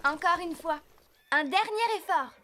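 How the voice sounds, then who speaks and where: A woman's voice: very high-pitched, expressive, a little slow, sharp tone, heavily acted. A woman voicing an earnest, responsible teenage girl who organizes her friends insistently in a dubbed cartoon. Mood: commanding. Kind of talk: French cartoon dub.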